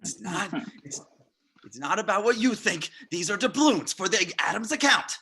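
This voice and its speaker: A man's voice: sits high, lively intonation, normal speed, sharp tone, breathy edge, heavily acted. A man playing a nervous, put-upon lawyer in debt, stammering and pleading in a comedy table read. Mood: flustered, cornered. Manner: stammering, flustered.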